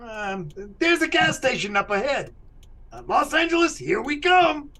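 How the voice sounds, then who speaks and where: An actor playing an excitable, hot-tempered man in an old-time radio comedy, blustering and exclaiming. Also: very high voice, measured pace, exaggerated voice. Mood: excited. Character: George, excitable hot-tempered man on a madcap road trip.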